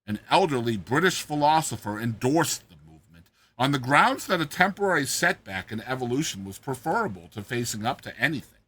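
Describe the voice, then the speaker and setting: A man narrating a short story in a theatrical, expressive, dramatized reading style. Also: mid-range voice, a little fast, husky. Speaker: narrator, host of a reading series.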